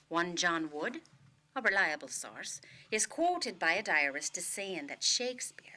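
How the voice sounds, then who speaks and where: A woman playing an Irish storyteller, speaking scripted drama in theatrical, deliberate phrases with an Irish accent. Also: medium pitch, sharp tone, a little hiss or hum. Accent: Irish, put on. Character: Irish woman telling Shakespeare's death as a mystery.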